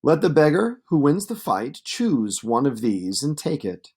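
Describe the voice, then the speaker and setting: Man reading as slow-paced, taunting young suitor. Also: mid-range voice, very animated, darkish tone, slightly rough, pitched above the performer's own voice, heavily acted. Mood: commanding. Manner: taunting, domineering. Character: Antinous, arrogant young suitor, mocking and threatening. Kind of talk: storybook read-aloud of epic poetry.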